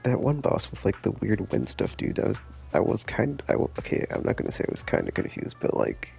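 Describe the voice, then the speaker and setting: A man's voice: fairly low pitch, huge pitch swings, fairly quick, creaky, a little mellow, breathy edge. A man streaming a game live, chatting casually in a rambling, enthusiastic, slangy way. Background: game music.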